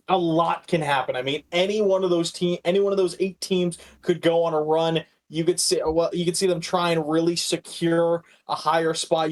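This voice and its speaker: A man co-hosting a podcast with casual sports commentary, in a halting way that often repeats words. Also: fairly high pitch. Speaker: podcast co-host.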